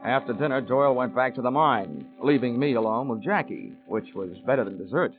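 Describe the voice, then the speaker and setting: An actor playing a wisecracking, world-weary insurance investigator in a 1940s radio drama, speaking in a deadpan way. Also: male voice, mid-range voice, brisk, lightly acted. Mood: wry narration. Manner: wry, deadpan. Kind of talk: 1940s radio acting, first-person narration. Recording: dull old radio recording, music behind.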